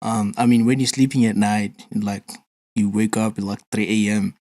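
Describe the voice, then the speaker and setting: A man's voice: on the low side, steady tone, moderate pace, a little mellow, dry recording. A man interviewing a podcast host in a casual, easygoing way, with many "you know"s and "like"s.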